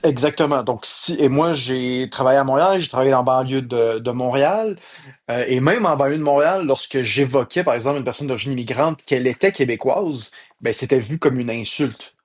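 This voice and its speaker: A man's voice: mid-range voice, a little fast. A man speaking as a radio panelist, explaining his points and correcting himself as he goes. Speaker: radio panelist, former schoolteacher. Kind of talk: current affairs discussion. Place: radio studio.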